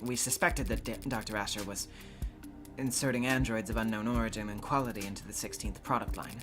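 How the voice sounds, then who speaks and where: A man's voice: fairly low pitch, steady tone, brisk, close to an everyday voice. Man acting a guarded corporate witness over music. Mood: guarded, composed. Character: guarded, formal corporate executive testifying as a witness. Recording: loud music behind.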